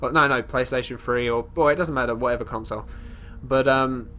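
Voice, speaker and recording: A man with a congested, stuffy voice talks through a game in a loose, chatty way. His pitch sits in an ordinary middle range, and he wanders off on tangents and restarts his thoughts. A faint background hiss runs under the voice. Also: steady tone, brisk, sharp tone. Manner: rambling, chatty, often restarting sentences; talks about his character in third person. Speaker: let's play host. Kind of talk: casual gameplay commentary.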